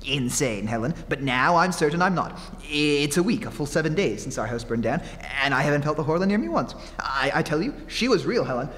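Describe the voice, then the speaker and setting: A man's voice: on the high side, animated, brisk, few pauses, a bit bright. A man playing a desperate, haunted fugitive in old-time radio horror, melodramatic and stammering, recorded on stage in a hollow, hissy hall. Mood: uneasy.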